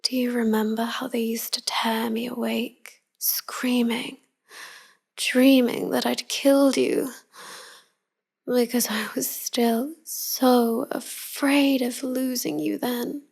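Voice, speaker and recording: A woman playing a tender, melancholy vampire wife in an intimate pillow-talk roleplay, speaking softly and often whispering. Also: a little high, little pitch movement, full character voice. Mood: fond, haunted. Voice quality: soft, breathy, often whispering. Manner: slow, hushed, confiding. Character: tender, melancholy vampire wife devoted to her human lover.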